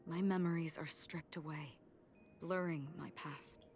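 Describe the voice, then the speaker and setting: A woman voicing a calm, solemn wandering swordswoman in an anime game, composed and wistful. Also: sits low, unhurried. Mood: wistful resolve. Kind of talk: anime game dialogue, dramatic. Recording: game music behind.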